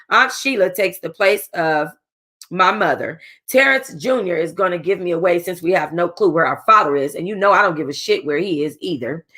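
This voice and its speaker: A woman's voice: fairly low pitch, a bit bright. A woman reading a novel aloud for a book club, stumbling over words, rereading lines and breaking off to chat casually with her listeners. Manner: casual, chatty asides to listeners.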